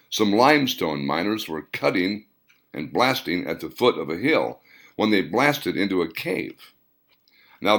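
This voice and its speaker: A man voicing an earnest male character who recounts family tales in unhurried audiobook dialogue. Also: sits low, gravelly, fairly bright tone, heavily acted. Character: earnest man telling his grandfather's cave story.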